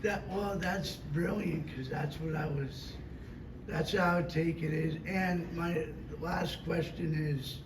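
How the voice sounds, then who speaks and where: Hoarse fan asking a rambling question. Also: male voice, fairly high pitch, fairly even intonation, slow. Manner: rambling, loose phrasing. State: hoarse from allergies. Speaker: audience questioner. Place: convention hall. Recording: roomy, distant, noisy hall recording.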